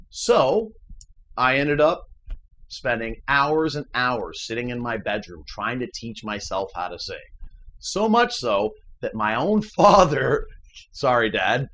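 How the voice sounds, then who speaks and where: Animated baritone man, earnest and emphatic. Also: unhurried, low-quality recording. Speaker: musician and channel host. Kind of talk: casual motivational chat.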